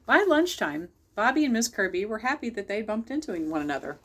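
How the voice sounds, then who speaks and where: A woman's voice: mid-range voice, sing-song, a little fast. A woman hosting a children's storytime, reading a picture book aloud and chatting directly to young listeners. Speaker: library storytime host.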